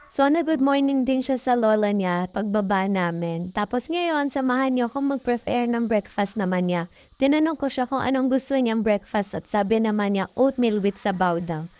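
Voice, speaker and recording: A woman's voice: on the high side, normal speed, darkish tone, dull audio. A young Filipino woman with a chatty, informal manner, narrating a casual vlog as a voice-over. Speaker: mom vlogger.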